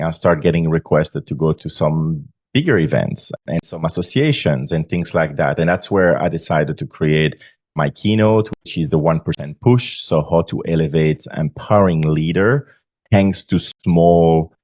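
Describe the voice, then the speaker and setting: A deep, low-voiced man in his forties speaks with a Belgian accent. He talks earnestly and stops often on fillers. The sound is dry and a little dull, like a remote lo-fi line. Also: darkish tone, no room sound. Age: 40s. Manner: earnest, halting with many fillers. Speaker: guest author. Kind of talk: podcast interview.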